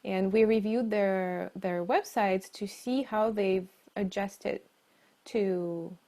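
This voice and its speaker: A woman's voice: medium pitch, fairly even intonation, takes it slowly, dark tone. A woman from Siberia with a Russian accent, answering as an interview guest in a conversational style. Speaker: interview guest, marketing leader and coach.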